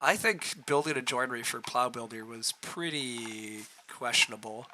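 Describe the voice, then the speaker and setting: A man with a rough, hoarse voice, streaming a board game, thinking aloud through his choices and answering chat as he clears his throat. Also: medium pitch, normal speed, very noisy.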